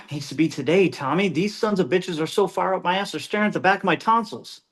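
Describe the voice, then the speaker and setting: Man playing higher-voiced impatient company man. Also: brisk, a bit bright. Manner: terse, insistent. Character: stressed, impatient man in sport coat, oil company associate. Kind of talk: script table read.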